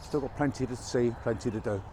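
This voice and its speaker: A man's voice: mid-range voice, brisk, mellow. A chatty man narrates his walk outdoors in a mid-range voice, wry and a little out of breath. He sounds slightly chesty from a lingering cold, picked up on a mic clipped to his chest. Faint motorway noise hums behind him. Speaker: walking vlogger. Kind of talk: casual walk-and-talk narration. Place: outdoors by a busy motorway in winter fields. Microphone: chest-worn mic.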